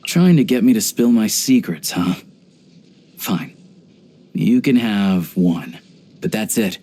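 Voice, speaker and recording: A man voicing a video game character, an earnest, pragmatic young hunter and rifleman who talks in a measured, reflective way. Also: fairly low pitch, lively intonation, mellow. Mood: guarded, teasing.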